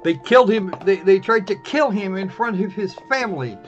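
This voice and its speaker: A man's voice: high voice, moderate pace. A man hosting a live game stream with casual, snarky, rambling commentary. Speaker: livestream host playing games. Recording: muffled, dull band-limited sound. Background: faint game music.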